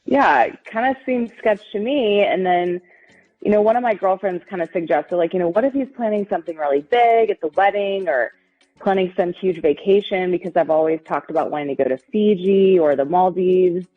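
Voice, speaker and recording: A woman's voice: lowish voice, moderate pace. A woman talking casually and hesitantly as a caller to a radio show. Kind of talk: casual phone chat, hesitant explaining. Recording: muffled lo-fi audio, music underneath.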